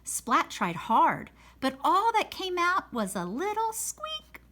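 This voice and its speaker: A woman narrates a children's picture book aloud. Her delivery is slow and unhurried, her pitch lively and full of swoops, and her tone bright and clear. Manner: slow, expressive storytelling. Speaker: storybook reader.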